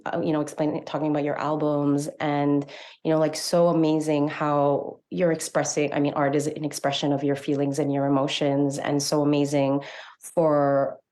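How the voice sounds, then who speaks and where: A woman's voice: low voice, completely flat delivery, normal speed, mellow. A woman co-hosting a radio show, chatting casually with a guest in an effusive, informal way. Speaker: radio show co-host, interviewer. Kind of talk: casual conversational interviewing.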